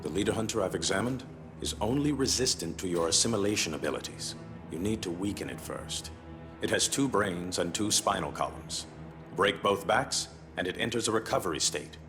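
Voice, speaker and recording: Video game scientist: deep voice, analytical, measured briefing delivery. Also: male voice, animated. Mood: clinical, measured. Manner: measured, clinical, explanatory. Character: clinical, analytical male scientist briefing an ally. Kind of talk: expository game cutscene dialogue. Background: music.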